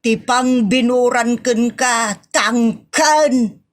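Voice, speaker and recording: A woman's voice: on the high side, extremely slow. A woman with an Ilocano accent playing an exasperated, scolding woman of the household in a comedy audio drama, full of exclamations.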